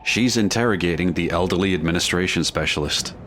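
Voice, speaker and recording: Low-voiced guy narrating a radio drama, reading a script, noise behind him. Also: brisk, fairly bright tone.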